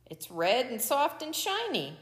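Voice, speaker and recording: A woman's voice: sits high, wildly swooping pitch, a little slow, in a large hall, pitched above the performer's own voice, full character voice. A woman voicing a stubborn, sly little horned toad in a folk tale, defiant and matter-of-fact. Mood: curious, unhurried.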